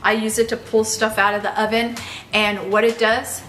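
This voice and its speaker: A woman in her fifties chats enthusiastically while cooking at home, her voice sitting a little high. The large kitchen gives her speech a roomy echo, and food sizzles now and then in the background. She trips over her words and restarts often. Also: level tone, moderate pace. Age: 50s. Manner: chatty, enthusiastic, many fillers and restarts. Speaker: lifestyle vlogger. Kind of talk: casual cooking vlog chat. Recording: echoey kitchen room.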